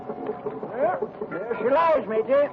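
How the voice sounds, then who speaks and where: An actor playing a salty, folksy old sea captain full of sailor slang and mateys, in 1940s radio drama style. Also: male voice, very high-pitched, lively intonation, takes it slowly, narrow, tinny audio, heavily acted. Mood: brisk, folksy. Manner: folksy sailor talk, calls everyone matey. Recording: noisy, band-limited 1940s radio recording.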